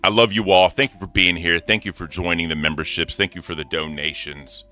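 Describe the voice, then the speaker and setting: A deep-voiced man co-hosting a casual livestream, talking back at critics in a defiant, animated way with swearing. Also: fairly quick, faint music behind. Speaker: co-host.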